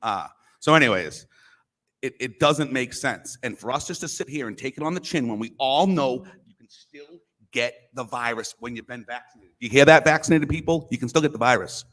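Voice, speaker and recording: A man with a loud voice giving impassioned public comment at a school meeting, forceful and emphatic. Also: mid-range voice, brisk. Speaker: parent speaking at public comment.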